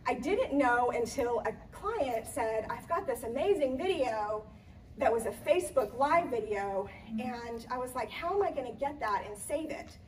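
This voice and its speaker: A woman event planner, slightly hoarse, giving a chatty live talk in a conference room with many ums and restarts. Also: fairly high pitch, expressive, moderate pace, a little mellow, far from the mic, slight background noise, rough recording. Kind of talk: live presentation to an audience.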